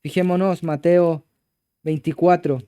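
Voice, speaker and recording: High-voiced Chilean preacher, clear tone. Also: male voice, fairly even intonation, measured pace. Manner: emphatic preaching, swinging from exclamations to low hushed passages. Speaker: pastor. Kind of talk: sermon. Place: church.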